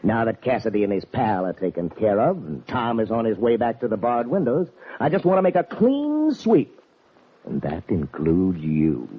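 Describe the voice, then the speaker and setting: An actor playing a menacing, double-crossing outlaw in an old Western radio drama, taunting with a tough drawl. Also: male voice, lowish voice, sing-song, normal speed, husky, dull audio. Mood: sneering, gloating.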